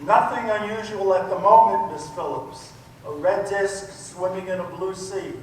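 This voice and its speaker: A man playing a calm, dismissive astronomy professor in an old-time radio play. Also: high-pitched, unhurried. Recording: echoey hall from far off, noisy.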